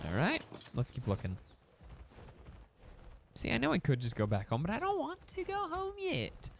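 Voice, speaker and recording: A man's voice: high voice, wildly swooping pitch, moderate pace, creaky, slight background noise. A young man casually commentating a survival game, rambling to his in-game pets and his audience. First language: English. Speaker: gaming YouTuber. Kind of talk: casual let's-play commentary.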